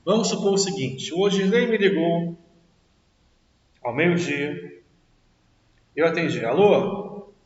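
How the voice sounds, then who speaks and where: A man with a Rio de Janeiro accent teaches a logic class. He talks in a lively way, asks the class questions and answers them himself. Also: a little high, takes it slowly, long pauses. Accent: Rio de Janeiro Portuguese. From Brazil. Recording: echoey classroom, distant dull mic.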